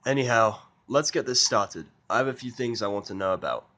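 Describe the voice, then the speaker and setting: A man's voice: lowish voice, expressive, a little fast, sharp tone. A man playing an irritable, sarcastic, foul-mouthed human in a fan fiction audio drama, blunt and impatient. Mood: blunt, dismissive.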